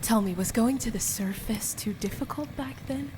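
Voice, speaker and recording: A woman's voice: fairly high pitch, normal speed, background noise, close to an everyday voice. A woman acting a defiant, wounded young captive in a radio play, with a raw, emotional delivery. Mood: bitter, probing. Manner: emotional, accusing, pleading.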